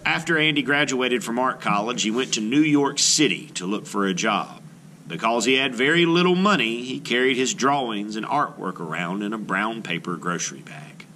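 Bright, animated man reading aloud. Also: mid-range voice, moderate pace, slight background noise. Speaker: art teacher reading aloud to students. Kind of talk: children's book read-aloud.

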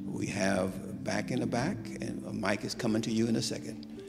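Low gravelly pastor guy greeting the church, big echoey hall. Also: fairly even intonation, moderate pace, loud music behind the voice. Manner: warm call-and-response greeting. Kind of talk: church welcome from the pulpit. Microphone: stand mic at pulpit.

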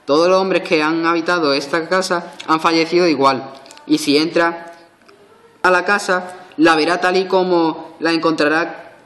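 A man acts out an old man who is secretly a ghost in an amateur audio drama. He is grave and insistent, telling his tale solemnly in a slightly high-pitched male voice. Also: fairly even intonation, normal speed, fairly bright tone, lightly acted.

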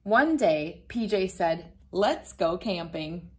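Slow, gentle woman reading to kids. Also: fairly low pitch, animated. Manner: gentle, playful, laughing often. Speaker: children's book reader. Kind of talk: read-aloud storytime for kids. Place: bright room indoors.

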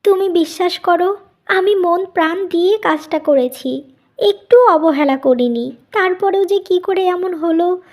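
A woman's voice: very high-pitched, a little slow, a little mellow. A woman playing an earnest, often distressed young wife in a melodramatic family cartoon, pleading in her way of talking.

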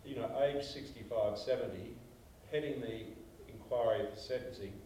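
A man's voice: medium pitch, moderate pace, warm tone, slight background noise. Older australian man on a panel, emphatic storyteller, heard from far off in an echoey room. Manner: emphatic storyteller, gestures while talking. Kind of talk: animated panel discussion. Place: small meeting room.

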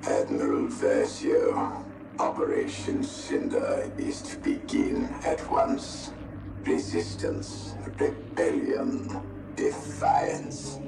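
An extremely low, gravel-choked machine messenger speaks a tyrant's orders with glacial menace. The delivery swoops solemnly in an echoing space under loud game music. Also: male voice, far from the mic, exaggerated voice. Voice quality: deep, gravelly, croaking. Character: robotic messenger droid relaying a dead tyrant's cold commands. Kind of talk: cinematic video game acting.

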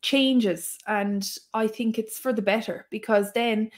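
A woman's voice: on the high side, slow pace. A young adult woman from Waterford with an Irish accent, chatting animatedly as a guest on a podcast over a video call. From Ireland. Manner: animated, enthusiastic, gestures while talking. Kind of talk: casual podcast interview. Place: small room at home. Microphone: video call, earphones.

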